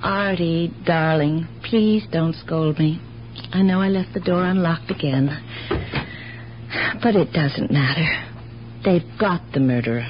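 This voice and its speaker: An actress playing a gentle, sorrowful older aunt in a radio drama, halting and plaintive as she earnestly justifies herself. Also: deep voice. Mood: uneasy, soft. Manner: slow, halting, plaintive delivery. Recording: muffled, hissy old radio broadcast.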